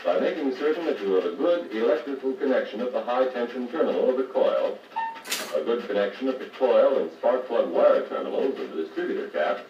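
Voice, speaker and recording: An American actor playing a patient car radio service instructor, explaining methodically in a 1950s training filmstrip style. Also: male voice, mid-range voice, lively intonation, brisk, lightly acted. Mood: patient, instructive. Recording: muffled, noisy, echoey 1950 record playback, distant mic.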